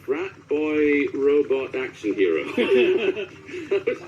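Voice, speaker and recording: A man's voice: fairly high pitch, big pitch swings, takes it slowly, a little mellow, muffled recording, slight background noise. A man chatting casually as a voice actor being interviewed.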